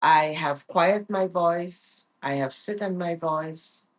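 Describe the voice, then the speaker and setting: A woman's voice: fairly low pitch, slow pace. A middle-aged woman vlogging in an earnest, reflective way, often repeating her phrases for emphasis. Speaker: vlogger and life coach. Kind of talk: reflective personal vlog.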